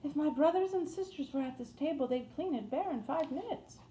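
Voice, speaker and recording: Woman playing a blunt young Yorkshire housemaid, raised pitch. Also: expressive, a little fast, warm tone. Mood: scolding. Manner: plain-spoken, dialect thee and thou. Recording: roomy echo, faint noise.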